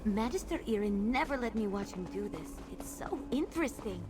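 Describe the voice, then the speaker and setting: A woman's voice: mid-range voice, lively intonation, a little fast, noisy background, close to an everyday voice. A woman voicing an eager, curious young female companion in a video game. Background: game music.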